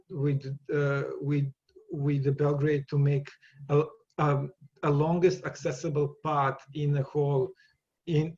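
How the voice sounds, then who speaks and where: A man with a Serbian accent, answering interview questions in a loose, halting way, circling back and repeating words as he goes. His voice sits a bit high for a man and comes through dull and lo-fi. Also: a little mellow. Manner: halting, many repeated words and restarts, laughs easily. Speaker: guest. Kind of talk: casual interview conversation.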